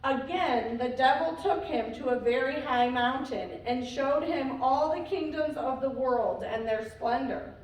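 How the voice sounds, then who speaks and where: A woman reading a scripture passage aloud in church at a measured, deliberate pace. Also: high voice, slight background noise. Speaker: scripture reader. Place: church sanctuary. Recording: echoey church from far off.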